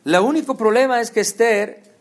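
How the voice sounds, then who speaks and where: A man's voice: extremely high pitch, normal speed. A man from preaching a conversational sermon, talking straight to his listeners with rhetorical questions.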